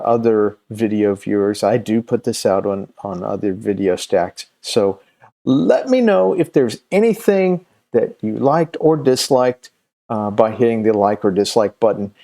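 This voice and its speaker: A middle-aged man talking casually to viewers as a video host, unscripted, with a halting, meandering delivery and long hesitations. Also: mid-range voice, gravelly, warm tone. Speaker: host of a comics recommendation video channel.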